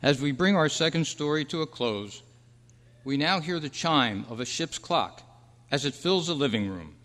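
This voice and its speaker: A man acting in a staged radio drama, with earnest, measured storytelling. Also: a little high, animated, fairly bright tone. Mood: announcing. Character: Roy, dead thirty-three-year-old man's wistful, peaceful spirit. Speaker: actor and announcer. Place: live club meeting in a hall. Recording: echoey hall with long reverb.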